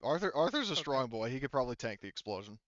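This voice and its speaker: A man speaking casually as a game master, explaining things in a rambling, halting way with some swearing. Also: medium pitch, sing-song, fairly bright tone, no room sound, muffled recording. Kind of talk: casual improvised explanation of tabletop game rules and lore.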